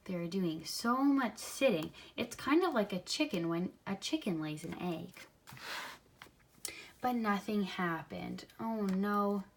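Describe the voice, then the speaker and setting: A woman reads a picture book aloud for young children, expressively, pausing to add simple comments of her own. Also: mid-range voice, animated, slight background noise. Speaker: storybook reader.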